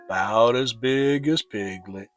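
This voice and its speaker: A man voicing a gloomy, mournful donkey who draws his words out slowly in a children's storybook reading. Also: mid-range voice, faint music behind, close to an everyday voice. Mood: sad, resigned. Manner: slow, drawn-out, gloomy delivery. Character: gloomy old grey donkey, slow and mournful.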